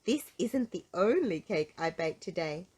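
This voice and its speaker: A woman's voice: on the low side, animated, unhurried, darkish tone, husky. A woman voicing a cheerful, polite and greedy little lady from a children's storybook, in an eager, emphatic manner. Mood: chuckling confession.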